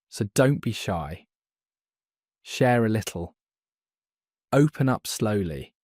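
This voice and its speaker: A man teaching a beginner English lesson, speaking slowly and clearly so learners can follow. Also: fairly low pitch, a little mellow, no echo, clean studio sound. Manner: slow, clear, deliberate delivery for learners. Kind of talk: slow scripted lesson narration.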